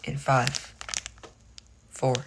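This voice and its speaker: A hoarse teenage boy chatting casually at the end of a livestream, rambling and restarting his phrases. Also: very slow, background noise. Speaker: streamer.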